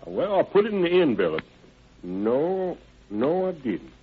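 A man's voice: a little high, wildly swooping pitch, measured pace, narrow, tinny audio, a little hiss or hum. An actor playing an evasive young ex-convict odd-job man in an old radio crime drama, speaking in a hesitant, rambling, folksy and ungrammatical way. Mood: hesitant, deferential. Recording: band-limited vintage radio recording.

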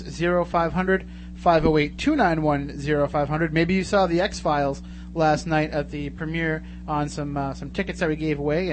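Higher-voiced American man hosting radio, noisy hum. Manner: chatty, informal. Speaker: radio show host. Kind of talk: casual live talk radio hosting.

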